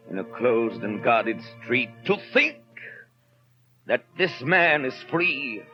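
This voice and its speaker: A man plays a vengeful police inspector with formal, deliberate menace. It is a 1930s radio monologue, dull in sound, with music underneath. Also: mid-range voice, natural voice. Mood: grimly determined. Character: obsessive, patient, vengeful male police inspector.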